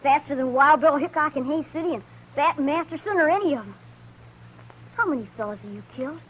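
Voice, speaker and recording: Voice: sits high, lively intonation, fairly quick, fairly bright tone. A performer playing an eager, cocky young runaway boy with boastful, folksy country talk in an old-time radio western. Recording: noisy old radio drama recording.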